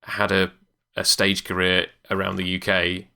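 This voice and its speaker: A man's voice: low voice, completely flat delivery, unhurried, gravelly, sharp tone. A man co-hosting a podcast in casual, hesitant chat. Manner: hesitant, lots of ums and uhs. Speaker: podcast co-host.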